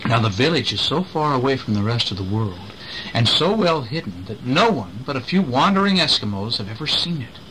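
A middle-aged man reading a picture storybook aloud to a child in an expressive way, with chatty asides and questions. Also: mid-range voice, a little fast. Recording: home recording with faint background noise.

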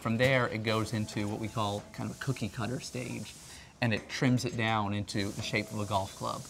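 A man's voice: on the low side, level tone, moderate pace, creaky, a bit bright, slight background noise. A man in his thirties giving a conversational, explanatory promotional talk as a company presenter. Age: 30s. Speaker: company R&D representative, factory tour presenter. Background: background music.

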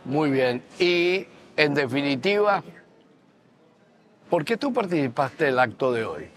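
A man's voice: a little high, very animated, slow pace, a bit bright. An older man from Venezuela hosting a casual interview at a dining table, echoing his guests' answers back. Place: bright room at a dining table. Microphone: lapel mic.

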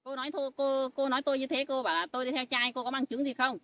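A woman's voice: high-pitched, little pitch movement, very fast, a bit bright, no room sound, distant mic. A woman playing a jealous young wife who talks in an insistent, accusing, threatening way in an amateur family skit. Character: jealous young wife, sharp-tongued and stubborn.

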